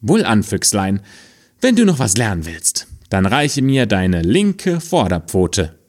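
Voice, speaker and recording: A man's voice: lowish voice, huge pitch swings, takes it slowly, darkish tone, exaggerated voice. A man voicing a cunning, bossy male fiddler in a fairy tale, giving orders in a mocking tone. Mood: commanding. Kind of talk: storybook voice acting.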